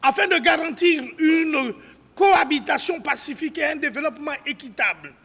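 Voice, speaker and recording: A man with a very high, creaky voice reads a formal statement. His delivery is solemn and declamatory, with occasional stumbles over the written text. Long reverb from a hall trails his bright, forceful words. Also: normal speed. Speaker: civil society spokesman. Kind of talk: formal public statement read aloud.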